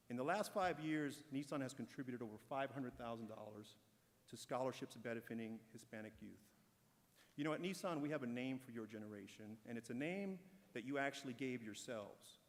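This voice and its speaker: Man, corporate speaker, prepared speech, measured and encouraging, big echoing hall. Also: mid-range voice. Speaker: corporate speaker at an awards ceremony. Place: large event hall. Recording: long hall reverb.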